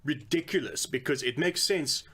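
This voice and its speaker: A man's voice: fairly high pitch, lively intonation, normal speed, fairly bright tone, breathy edge. A man co-hosting a casual podcast, talking bluntly with dry jokes. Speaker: podcast co-host.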